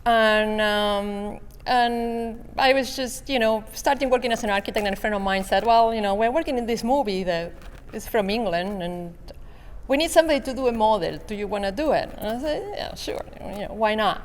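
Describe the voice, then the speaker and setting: A woman's voice: medium pitch, moderate pace. A woman from Argentina with an Argentine accent, speaking as a panelist in casual talk, with many hesitant fillers. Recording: reverberant convention hall with faint noise.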